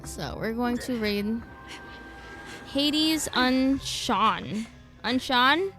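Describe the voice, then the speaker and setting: Chatty young woman livestreamer, higher voice. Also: animated, drawn-out words, sharp tone. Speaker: game livestreamer. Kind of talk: casual livestream chat over gameplay. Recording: background game music. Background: game music.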